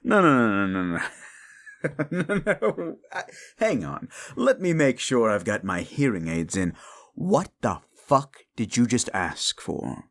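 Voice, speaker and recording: A man's voice: very animated, slow pace, fairly bright tone, no echo, clean studio sound, exaggerated voice. A man voicing a scornful male demon in an audio roleplay, taunting and mocking the listener with a profane tongue. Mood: incredulous mocking. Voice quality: deep and gravelly.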